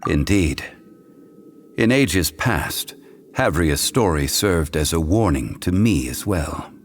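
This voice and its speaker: An actor playing a wise, solemn ancient god in human form in a video game, speaking formally and with measure. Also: male voice, low-pitched, lively intonation, slight background noise. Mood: solemn, measured. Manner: measured, formal, archaic phrasing. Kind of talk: scripted video game voice acting. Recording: music behind the voice.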